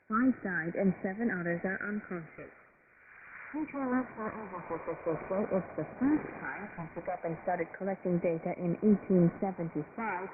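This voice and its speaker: Over a narrow, noisy shortwave signal, a woman presents the news from a script. Her voice is medium in pitch, with clear radio static behind it.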